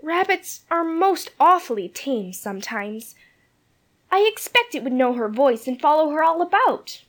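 Man playing a little girl, earnest, squeamish, very high voice, slow. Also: sing-song, a bit bright, full character voice. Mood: eager.

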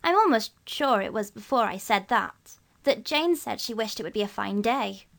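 A woman's voice: a little high, lively intonation, moderate pace, gravelly. A woman voicing an argumentative, dismissive schoolboy with an offhand manner in a children's storybook. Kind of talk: acted children's storybook reading.

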